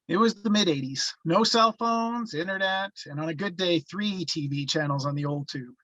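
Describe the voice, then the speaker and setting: Bright-voiced actor as a man looking back. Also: a little high, moderate pace, no echo, lo-fi sound. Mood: reflective. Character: grown man recalling his rebellious small-town youth. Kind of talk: scripted first-person reminiscence.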